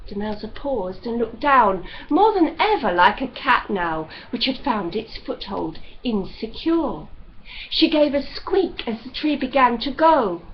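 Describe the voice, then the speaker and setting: Expressive, unhurried woman storyteller. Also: fairly high pitch, muffled recording, slight background noise. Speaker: audiobook reader. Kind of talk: expressive literary narration.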